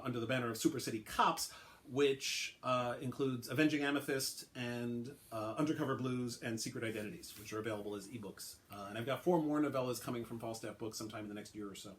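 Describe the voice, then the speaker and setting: A man, an author, giving a relaxed dramatic reading of his own story, with occasional fillers in the intro. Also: mid-range voice, brisk.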